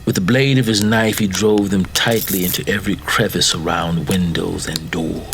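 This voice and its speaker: Deep-voiced man narrating a dark tale. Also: fairly even intonation, moderate pace, over music. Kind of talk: dramatic scripted story narration.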